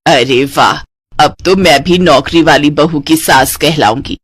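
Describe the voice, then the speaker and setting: A woman's voice: low-pitched, a little slow, slightly rough, no echo, pitched below the performer's own voice. A woman voicing a bossy, nagging middle-aged mother-in-law in a cartoon story. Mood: delighted.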